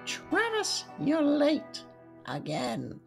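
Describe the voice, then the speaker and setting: A woman voicing an exasperated, sarcastic high school teacher in a comic dub cartoon, weary and scolding. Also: wildly swooping pitch, drawn-out words, vocal fry, over music, exaggerated voice.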